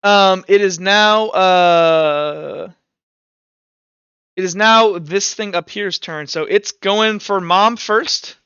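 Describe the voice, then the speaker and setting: A man's voice: high-pitched, drawn-out words, bright, forceful tone, clean tone, no echo, dull audio. A man acting as game master, mixing casual rules talk with informal, vivid narration of combat in a tabletop roleplaying game.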